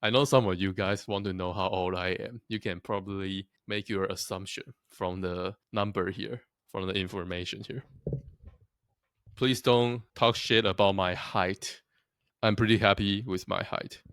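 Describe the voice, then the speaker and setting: A man's voice: low voice, steady tone, unhurried, fairly bright tone, clean tone, no echo, clean studio sound. A young man from Taiwan talking casually to his viewers, chatty and self-deprecating, often laughing at himself. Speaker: vlogger. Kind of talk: casual talk to camera.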